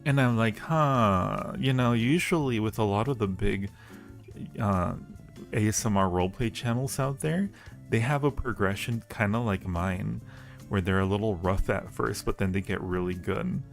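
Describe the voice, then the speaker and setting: A man's voice: on the low side, moderate pace, creaky. A man hosting a livestream, chatting casually and playfully with viewers and speaking to them affectionately. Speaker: livestream host and audio roleplay creator. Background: background music.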